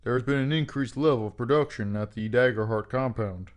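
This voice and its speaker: A man playing a zealous, deadpan presidential aide who speaks gravely and formally in a comic audio drama. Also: medium pitch, fairly quick, natural voice. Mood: grave, urgent. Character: stern, zealous male presidential security aide.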